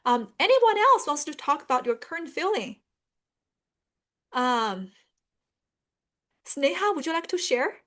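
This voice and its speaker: A woman's voice: sits high, big pitch swings, a little slow, long pauses, sharp tone. A middle-aged woman from northern China with a Chinese accent, hosting an online career webinar in an earnest tone with many fillers. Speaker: webinar host and career coach. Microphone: video call.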